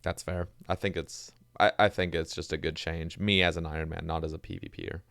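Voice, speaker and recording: Deep gravelly man hosting a podcast. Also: flat delivery, fairly quick, a bit bright, no echo, clean studio sound. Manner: easygoing, reads listener questions. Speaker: podcast host. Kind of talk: casual podcast chat.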